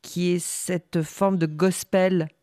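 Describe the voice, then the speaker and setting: A woman's voice: fairly low pitch, fairly even intonation, slow, dark tone, no echo, clean studio sound. A woman hosting a radio interview who sums up her guest's words and leads into the next question.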